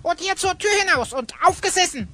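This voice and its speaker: An actor playing a cheeky, grumbling little elf kobold sidekick in a German audio play, squeaky and sharp, chattering mockingly. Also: lively intonation, brisk, exaggerated voice.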